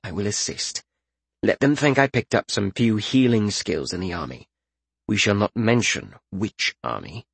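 A man voicing a calm, laconic fighter and healer in a fantasy audiobook, with an understated, measured manner. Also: lowish voice, creaky, breathy edge, no echo, exaggerated voice. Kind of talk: audiobook fantasy character acting.

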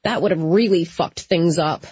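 A woman's voice: lowish voice, normal speed, darkish tone, breathy edge, no room sound, muffled recording. A woman voicing a sassy, blunt young female vampire in an audiobook, with an emphatic, informal manner. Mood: huffy.

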